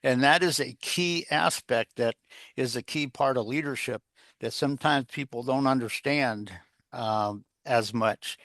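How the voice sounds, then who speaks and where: A man's voice: medium pitch, unhurried, fairly bright tone, no room sound. Guy being interviewed, kinda rambly advice, asks his own questions, dry close sound. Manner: rambling, repeats phrases, poses rhetorical questions. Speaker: interview guest, leadership author and coach. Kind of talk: conversational advice-giving interview.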